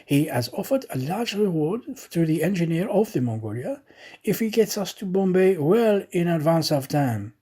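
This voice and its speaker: A man voicing a talkative, excitable, naive French manservant in a storybook, chatty and emphatic. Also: a little high, moderate pace, slightly rough, pitched above the performer's own voice. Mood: chatty, confiding.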